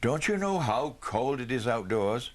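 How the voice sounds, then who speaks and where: A man's voice: medium pitch, big pitch swings, unhurried, slight background noise, voice pushed up. A man reading the part of a caring, busy mother in a storybook, in fussing, motherly questions and exclamations. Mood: fussing, fond.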